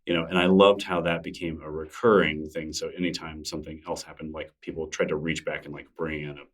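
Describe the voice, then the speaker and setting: Low-voiced guy chatting on a podcast, upbeat, laughs a lot, bit far from the mic. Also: level tone, quick pace. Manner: chatty, enthusiastic, laughs easily. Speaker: podcast guest, newsletter creator. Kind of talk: casual interview conversation.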